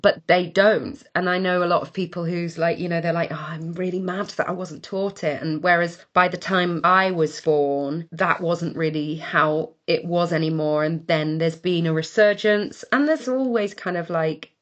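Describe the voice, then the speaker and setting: Chatty Welsh actress, lowish voice. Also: female voice, fairly even intonation, moderate pace, few pauses, dull audio. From Wales. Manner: chatty, warm anecdotes with laughter and restarts. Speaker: actor. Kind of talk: casual interview chat.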